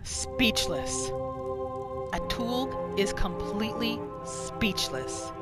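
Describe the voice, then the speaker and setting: A woman hosting a gaming roleplay series, giving expressive playthrough commentary and telling her character's story. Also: medium pitch, drawn-out words, over loud music. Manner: expressive, exclamatory reactions.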